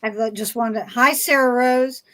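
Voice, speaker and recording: A woman's voice: fairly high pitch, steady tone, moderate pace, fairly bright tone, slight background noise. A woman chatting casually as a fan panelist, in a conversational style. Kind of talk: casual chat.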